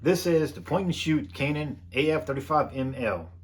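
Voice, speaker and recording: A middle-aged American man reading a scripted voice-over in a measured, plain explanatory way, as the host of a photography video. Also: a little high, lively intonation, slight background noise. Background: background music.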